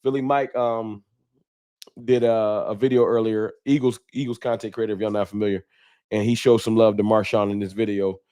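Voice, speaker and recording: An American man with a fairly low voice hosts a fan livestream. He talks informally and candidly, addressing his viewers directly in colloquial speech. The sound is close and dry. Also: level tone, fairly quick, dark tone, no room sound. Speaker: livestream host, sports fan content creator. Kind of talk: casual livestream talk to viewers, reading chat.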